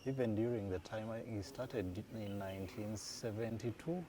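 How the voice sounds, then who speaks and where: A Ugandan man in his forties, answering interview questions in an unhurried, thoughtful way as he recalls dates and places. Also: fairly low pitch. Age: 40s. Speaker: guest. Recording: noisy room ambience.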